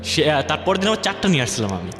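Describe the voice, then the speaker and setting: A man's voice: fairly high pitch, sing-song, fast talker, background noise. A man with a Bangladeshi accent tells a personal story as a guest, in informal, colloquial speech tinged with dialect. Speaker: guest telling his life story. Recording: echoey long reverb, music bed behind.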